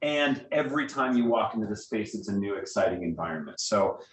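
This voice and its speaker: A man's voice: expressive, a little fast. A man giving an informal guest talk to a group, explaining things conversationally and addressing his listeners directly. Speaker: guest speaker, theatre director. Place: meeting room, speaking to a live group. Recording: distant echoey room with rumbling noise.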